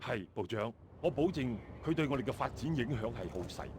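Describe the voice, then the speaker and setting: A male actor voices a dutiful army captain from China in a video game. His mid-pitched voice moves in a lively way. He sounds deferential and assuring, with clear background noise around him. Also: fairly quick, natural voice. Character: dutiful Chinese army captain reporting to superiors. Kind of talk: scripted video game dialogue.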